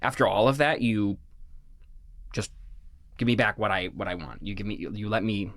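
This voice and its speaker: A man acting a suspicious, melodramatic son who styles himself a villain in a comedic audio drama, with grandiose, halting phrasing. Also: fairly low pitch, fairly bright tone, no echo, studio quality. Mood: incredulous, wary.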